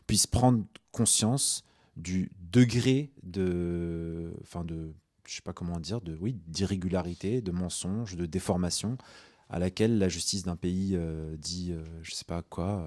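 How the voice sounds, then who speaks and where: Low voiced guy from tunisia on a radio show, lots of euh and restarts, dry sound. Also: darkish tone, no echo. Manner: hesitant, many restarts and fillers. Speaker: guest activist. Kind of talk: radio discussion.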